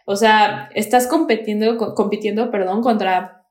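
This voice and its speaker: A woman's voice: medium pitch, steady tone, normal speed, echoey room. A young Mexican woman with a Mexican accent, hosting a podcast in casual, slangy, emphatic chat. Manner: slangy, emphatic, peppers speech with "güey". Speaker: podcast host.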